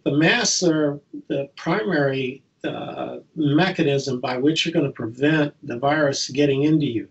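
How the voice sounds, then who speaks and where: A man answering in an interview as an expert guest, with a gravelly, husky voice on a distant, dull recording. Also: mid-range voice, unhurried, few pauses. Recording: distant mic, dull lo-fi sound.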